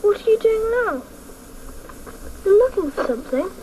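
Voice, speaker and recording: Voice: very high voice, very animated, normal speed, dark tone, a little hiss or hum, low-quality recording. An actor playing an earnest young boy in a radio drama, asking probing, insistent questions. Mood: curious.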